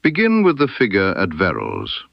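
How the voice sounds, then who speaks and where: A man voicing a stern, incisive detective in an audiobook mystery, precise and emphatic, with dramatic pauses. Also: sits low, wildly swooping pitch, creaky, no echo, highs cut off, exaggerated voice. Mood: grave, certain. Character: stern, incisive male detective laying out his case.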